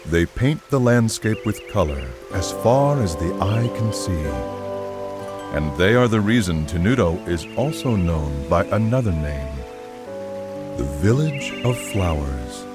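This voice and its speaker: An actor playing a calm, wistful storyteller in a game cutscene, speaking in a poetic, measured, theatrical way. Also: male voice, sits low. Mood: wistful, admiring. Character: calm, wistful male storyteller describing a tranquil village. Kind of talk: scripted game cutscene narration. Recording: loud music behind the voice.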